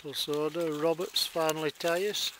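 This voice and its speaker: A man with a Yorkshire accent narrates his countryside dig into a close camera mic, wry and understated. His voice sits a little high for a man. Plenty of outdoor noise comes through around him. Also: fairly even intonation, moderate pace. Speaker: bottle digger filming his dig. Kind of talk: casual commentary while digging. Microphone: handheld or worn camera close to face.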